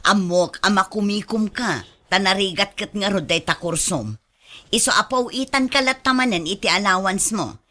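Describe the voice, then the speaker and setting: A woman's voice: medium pitch, normal speed, pushed and bright. A woman with a Filipino accent playing a proud, doting middle-aged mother in a radio drama, effusive and boastful.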